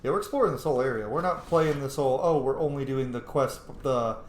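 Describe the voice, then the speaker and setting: A man's voice: mid-range voice, quick pace. A man giving casual, rambling, opinionated gameplay commentary, with some swearing. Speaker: gaming video host. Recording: roomy echo, faint background noise.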